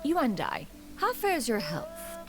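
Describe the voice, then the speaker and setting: A courteous, protective spirit talks in a formal, archaic manner. Her fairly high voice swings widely in pitch. It is video game dialogue over prominent music. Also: wildly swooping pitch, slow, exaggerated voice. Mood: calm, reassuring.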